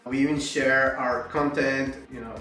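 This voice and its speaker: A man's voice: mid-range voice, steady tone, measured pace, sharp tone, distant mic. Man, casual reflective testimonial, very echoey room, faint background music. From Miami. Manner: casual, reflective, frequent "right?" and "you know". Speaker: course student giving a testimonial. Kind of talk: testimonial interview.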